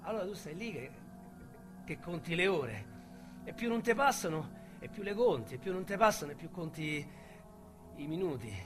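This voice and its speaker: A cocky Roman ex-con. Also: male voice, high voice, expressive, normal speed, over music. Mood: wistful. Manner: brash street talk, swinging to quiet confession. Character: Roman small-time crook, ex-convict, cocky and idle. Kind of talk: radio drama acting.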